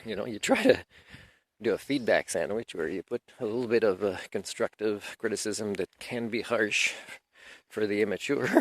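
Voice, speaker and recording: A man whose first language is French, giving a rambling, aggrieved unscripted monologue and clearing his throat now and then. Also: fairly low pitch, a little hiss or hum. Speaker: video lecturer.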